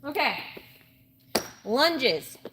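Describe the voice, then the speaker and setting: High voiced woman late 20s, chatty gym livestream, repeats herself, bit of background noise. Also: very animated, drawn-out words, pushed and bright. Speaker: livestreamer doing a workout live. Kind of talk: casual livestream chat while exercising. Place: indoor gym. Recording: noisy gym ambience, varying mic distance.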